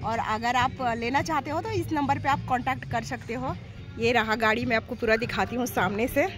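A woman's voice: on the high side, a little fast, fairly bright tone. A middle-aged woman vlogging casually outdoors at night on a phone held at arm's length, chatting directly to viewers. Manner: chatty, talks straight to viewers. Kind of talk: casual travel vlog chat. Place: outdoor cafe and street at night. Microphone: phone camera held at arm's length.

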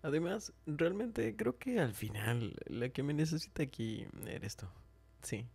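A man playing a smitten, tender and teasing best friend in an ASMR roleplay, speaking softly and hesitantly, often whispering and repeating himself. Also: fairly high pitch, animated, measured pace, heavily acted. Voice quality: soft, breathy, often whispering. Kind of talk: ASMR roleplay, intimate chat.

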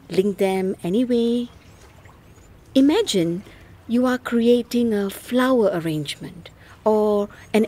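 A woman with a breathy voice narrating slow guided instructions for an audio art piece. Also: on the high side, darkish tone.

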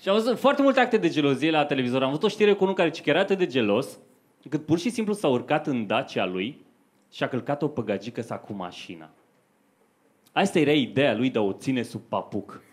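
A man's voice: mid-range voice, very animated. A young man performing stand-up comedy in a conversational storytelling manner into a handheld microphone on a club stage. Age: young adult. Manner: conversational storytelling to a live audience. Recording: faint noise, live club stage recording.

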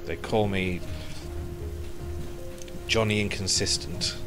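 A man's voice: low voice, steady tone, takes it slowly. Chatty man streaming a game. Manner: chatty, talks to himself while playing. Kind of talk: casual gameplay commentary. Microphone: headset. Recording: game music under the voice.

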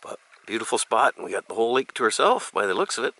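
A man's voice: mid-range voice, big pitch swings, quick pace, sharp tone. A man hosting a casual outdoor vlog by a forest lake, making jokey, upbeat asides. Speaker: vlog host.

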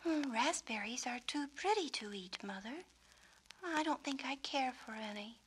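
A woman voicing an earnest, tender little girl in a storybook, soft and breathy. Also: animated, unhurried, slight background noise, poor audio. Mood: polite. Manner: soft, breathy, higher than the narration.

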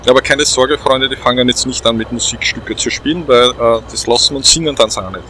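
A man's voice: medium pitch, a little fast, sharp tone, a little hiss or hum. A middle-aged man with an Austrian accent, hosting a let's play in casual, chatty, repetitive phrasing. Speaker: host of a gaming let's play. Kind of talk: casual chat commentary over a game.

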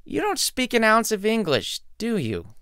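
A man's voice: sits high, wildly swooping pitch, normal speed, bright, forceful tone, clean tone, dry recording, clean studio sound, voice pushed up, heavily acted. A man voicing a heartbroken, self-pitying young male art student in a story, grumbling with melodrama and sardonic bite. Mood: dejected.

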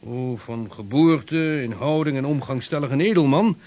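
An actor playing a weighty, deliberate statesman in a Dutch radio drama, formal and emphatic in his old-world rhetoric. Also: medium pitch, dull audio, natural voice. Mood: probing, opinionated.

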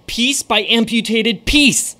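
A man's voice: very high-pitched, slow pace, bright, forceful tone, heavily acted. An actor playing a famous stage magician with a secretive showman's flair in a radio drama. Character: famous stage magician, now a ghostly guide.

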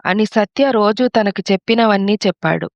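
A woman's voice: mid-range voice, normal speed, no echo. A woman narrator telling a scripted moral story.

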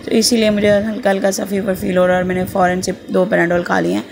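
Hoarse Pakistani mother, gravelly voice-over. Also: mid-range voice, completely flat delivery, fast talker, darkish tone. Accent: Pakistani Urdu. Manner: chatty, rambling, homely. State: sore throat, slight fever. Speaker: vlogger, mother. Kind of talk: casual voice-over narration. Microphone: voice-over at close mic.

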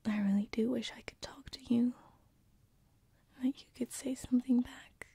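A woman voicing a gentle, lovesick succubus sleep paralysis demon in a whispered ASMR roleplay, soft, hesitant and tender, close to a binaural microphone. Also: on the high side, steady tone, normal speed, no echo, exaggerated voice. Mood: tender, wistful. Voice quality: breathy whisper. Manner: soft, hesitant, tender, with breathy giggles and sighs.

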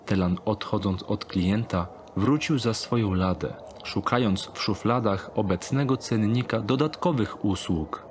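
Low-pitched male audio drama narrator. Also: a little hiss or hum. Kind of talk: scripted narration of a dark thriller audiobook.

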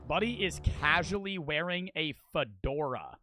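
Loud, bright young male streamer. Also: fairly high pitch, moderate pace, dry recording. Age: 20s. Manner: loud, excitable, swears and shouts at the game. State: voice cracking, losing his voice. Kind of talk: livestream gaming commentary. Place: small bedroom streaming setup. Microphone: headset mic on boom arm.

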